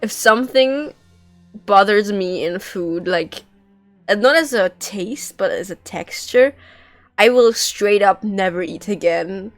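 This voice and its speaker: A woman live-streaming a game with casual, informal, expressive commentary, close on the mic. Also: mid-range voice, slow, dry recording. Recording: dry, game music behind.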